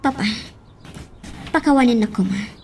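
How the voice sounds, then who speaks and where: An actress with a Filipino accent playing a respectful, caring young daughter, earnest and coaxing, in a radio drama. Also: female voice, on the high side, moderate pace, darkish tone, slight background noise, close to an everyday voice. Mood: apologetic pleading.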